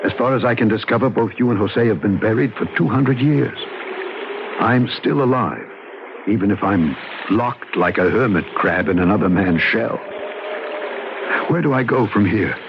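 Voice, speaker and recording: A man's voice: fairly low pitch, animated, normal speed, long pauses, noisy background. An actor playing a troubled, haunted man in a radio ghost story, with earnest, dramatic delivery and literary phrasing. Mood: urgent, questioning. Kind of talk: radio drama acting and first-person narration. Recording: muffled old radio recording, music behind.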